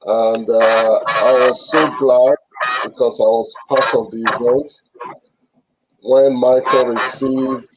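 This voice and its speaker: A man hosting an online interview over a video call, speaking haltingly with many fillers and restarts. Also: mid-range voice, flat delivery, heavy background noise. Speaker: host.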